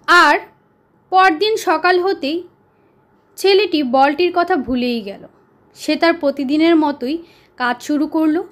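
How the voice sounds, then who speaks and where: A woman narrating a children's story as a storyteller, deliberate and pausing for dramatic effect. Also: high-pitched.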